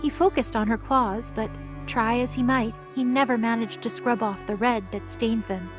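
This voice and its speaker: A woman's voice: fairly high pitch, fairly even intonation, normal speed. A woman narrating a scripted audio drama as a storyteller. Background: music.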